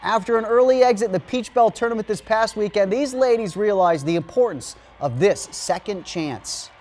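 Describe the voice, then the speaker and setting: High-voiced American male sportscaster. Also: moderate pace, darkish tone. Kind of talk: TV sportscast commentary, scripted narration over highlights.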